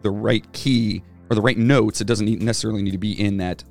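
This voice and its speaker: A man with a gravelly, slightly low voice talks casually as a podcast co-host, wandering through his points with fillers and false starts. He speaks like a former band singer swapping stories. Faint music sits behind him. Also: fairly even intonation, fast, a little mellow. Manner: rambling, lots of fillers and restarts. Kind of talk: casual chat.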